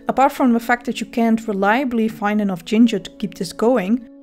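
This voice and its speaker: A woman's voice: medium pitch, a little mellow. A woman with a Dutch accent, a native Dutch speaker, narrating a scripted game guide with dry, self-mocking humor.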